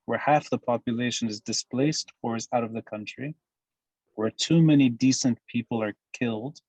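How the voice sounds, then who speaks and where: Guy from lebanon on a podcast, steady mid voice, talks in measured emphatic points, dry close mic. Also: medium pitch, dry recording, low-quality recording. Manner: measured, emphatic analytical speech. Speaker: guest commentator. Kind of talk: podcast interview.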